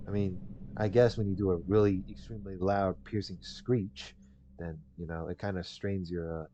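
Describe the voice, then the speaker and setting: A man's voice: low-pitched, steady tone, moderate pace, slight background noise. A man hosting a gaming video, reading visual novel text aloud and adding casual, rambling commentary. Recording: dull lo-fi mic under loud music. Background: game music.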